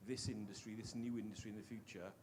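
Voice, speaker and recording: A man with a Welsh accent, a longtime teacher, asking an earnest, halting question from the floor at a panel talk. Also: on the low side, little pitch movement, background noise. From Wales. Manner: earnest, halting, many restarts. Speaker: audience member, teacher.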